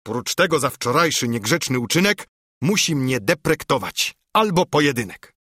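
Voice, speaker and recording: A man's voice: mid-range voice, very animated, moderate pace, husky, no room sound, clean studio sound, pitched above the performer's own voice. A man reading as a blustering, indignant country judge. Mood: furious, defiant. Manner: shouting, blustering, exclamatory. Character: hot-tempered stubborn country judge, indignant gentleman. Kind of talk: dramatised audiobook reading of verse.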